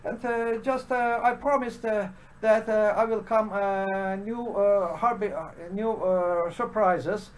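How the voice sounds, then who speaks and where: Male channel host, Turkish accent, fairly high voice, halting unscripted delivery full of "uh" pauses. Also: fairly even intonation. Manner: halting, heavy with "uh" fillers and restarts. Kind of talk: unscripted solo commentary.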